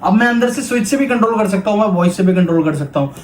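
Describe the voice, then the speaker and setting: A man's voice: sits high, expressive, rapid-fire. An Indian man giving a casual product demo as a toy shop presenter, explaining step by step and mixing Hindi with English. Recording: echoey room, background noise and clicking.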